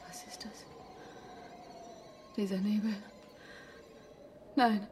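An actress playing a terrified young wife pleading as a vampire's victim in a German radio horror drama, crying out. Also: female voice, medium pitch, flat delivery, drawn-out words, darkish tone. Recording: noisy radio drama scene.

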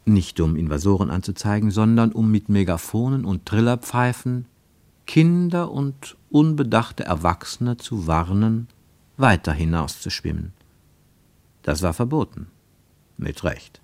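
A man narrating a radio-play travelogue in a measured, expressive storytelling manner. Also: on the low side, no room sound.

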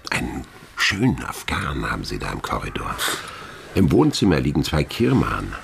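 An actor in a radio play, an older man playing a sly police inspector at the end of his career, musing, deliberate and dryly ironic. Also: very deep voice, huge pitch swings, vocal fry, background noise, heavily acted. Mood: slyly probing.

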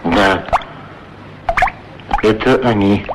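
An actor playing a humble, friendly street shoe shiner, plain-spoken and a little hesitant, in a radio play. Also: male voice, on the low side, very slow. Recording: noisy radio play ambience. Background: clear ambient noise.

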